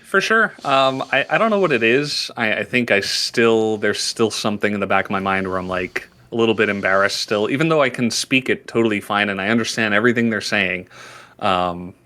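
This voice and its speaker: A man, an esports commentator, answering interview questions in a laid-back, hesitant way with many pauses and restarts. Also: fairly low pitch, sharp tone. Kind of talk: casual interview chat.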